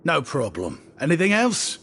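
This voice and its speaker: Lively, high-voiced friendly shopkeeper. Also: male voice, normal speed, slightly rough, heavily acted. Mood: flattering, easygoing. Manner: chatty, deferential, flowery politeness. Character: friendly, flattering young male stand-in shopkeeper, frankly self-serving. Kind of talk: video game character acting. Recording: background music under the voice.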